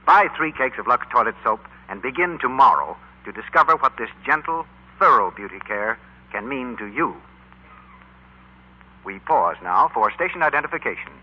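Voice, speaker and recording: A man's voice: mid-range voice, lively intonation, normal speed. A male announcer reading a scripted 1940s radio commercial in a polished, persuasive manner. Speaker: radio announcer for a soap advertisement. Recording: dull lo-fi 1940s radio recording.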